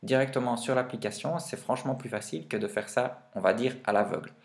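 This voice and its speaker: A man speaks at an average pitch in the relaxed register of a vlogger reviewing gear. He explains things step by step in informal, slangy wording. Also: fast talker, gravelly. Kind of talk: casual gear review vlog.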